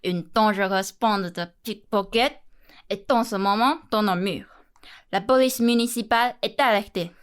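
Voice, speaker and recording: A woman's voice: medium pitch, measured pace, clear, resonant tone, close to an everyday voice. A young woman playing a town crier, declaiming an official announcement formally in a French radio play. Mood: solemn, official. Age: young adult.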